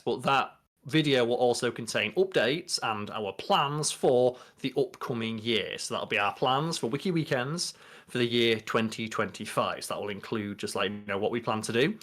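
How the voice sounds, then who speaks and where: A young man with a British accent and a mid-pitched voice. He co-hosts a podcast in a casual, bantering style, talking as he would to a mate. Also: moderate pace, fairly bright tone. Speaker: podcast co-host.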